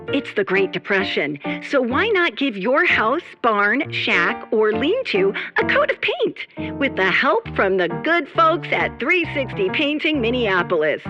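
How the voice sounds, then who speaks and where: A perky 1930s radio pitchwoman, highish and animated. The ad read is playful and theatrical, in dull vintage sound over music.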